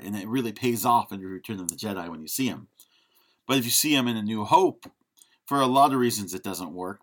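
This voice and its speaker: A man's voice: lowish voice, a bit bright. A man co-hosting a podcast, giving casual film critique in a frank, opinionated way. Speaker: podcast co-host.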